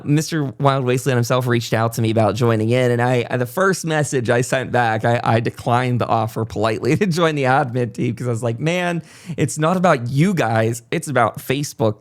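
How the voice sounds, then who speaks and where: A man hosting a podcast interview, talking conversationally in a rambling, complimentary way. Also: medium pitch. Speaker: podcast host.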